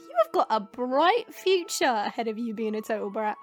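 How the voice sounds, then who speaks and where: A playful, bratty adventurer in a fantasy audio drama. The voice is high and swings wildly with melodrama, drawing words out in a mocking, teasing way. Faint music plays underneath. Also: female voice, very animated, normal speed. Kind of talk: fantasy audio roleplay acting.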